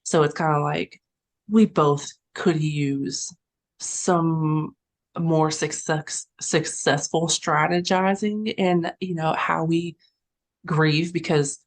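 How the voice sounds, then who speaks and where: A woman in her thirties hosting a podcast interview, talking casually and at length, with frequent small laughs. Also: low-pitched. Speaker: podcast host.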